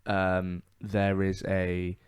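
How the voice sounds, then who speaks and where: A man co-hosting a podcast in casual discussion, agreeable and measured, often hesitating as he puts thoughts together. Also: low voice, monotone, clear, resonant tone, dry recording, studio quality. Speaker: podcast co-host.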